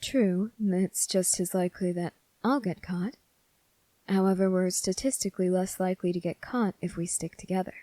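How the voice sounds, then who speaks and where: Woman as kind young male cadet for ASMR roleplay; relaxed dark tone, gentle and reassuring, very dry sound. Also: on the low side, moderate pace, dry recording, natural voice. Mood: kind, polite. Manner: soft, gentle, reassuring, polite. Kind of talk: ASMR character roleplay, spoken to the listener.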